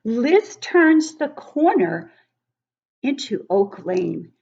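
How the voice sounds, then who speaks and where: A woman reading a storybook aloud to young children as a teacher, expressive, with encouraging conversational asides and questions to her listeners. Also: fairly high pitch, extremely slow, creaky. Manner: expressive, emphatic when reading. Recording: dull, lo-fi sound.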